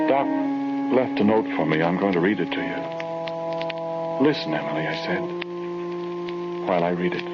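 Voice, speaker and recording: Earnest survivor, very low voice, solemn and measured, 1940s radio drama, muffled old recording with music. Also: male voice. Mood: grave, tender. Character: Adam, earnest male survivor narrating his grim memories.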